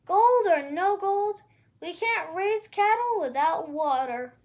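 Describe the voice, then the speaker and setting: A woman reads as a feisty ranch mother from a fairy tale. Her high voice moves up and down a lot, folksy and scolding as she protects her own. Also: expressive, takes it slowly, a little mellow, full character voice. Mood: doubting.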